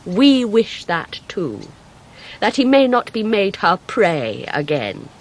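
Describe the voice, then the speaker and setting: An actress playing a bitter, haughty gentlewoman in a period radio drama, with a cutting, contemptuous delivery. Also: mid-range voice, very animated, slow, heavily acted, low-quality recording. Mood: cold scorn. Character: bitter, haughty, scornful gentlewoman of Victorian England.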